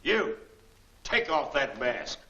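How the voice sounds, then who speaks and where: A man's voice: medium pitch, big pitch swings, slow, bright, forceful tone, dull audio, exaggerated voice. An actor playing a tough, curt gangster henchman in a 1940s film serial. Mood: barking, urgent. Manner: curt, clipped orders and replies. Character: tough gangster henchman, barking at men, deferring to boss. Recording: echoey, faintly noisy 1940s film soundtrack.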